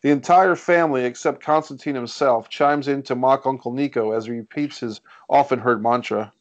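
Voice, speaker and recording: A man's voice: medium pitch, moderate pace, lo-fi sound. A man reading stage directions as scripted narration at a screenplay table read.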